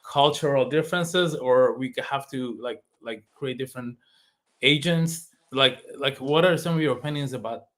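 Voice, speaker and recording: A man's voice: medium pitch, normal speed. A man whose first language is Persian, hosting a casual interview and telling stories with frequent laughs and acted-out quotes. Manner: animated storyteller, laughs often, acts out quotes.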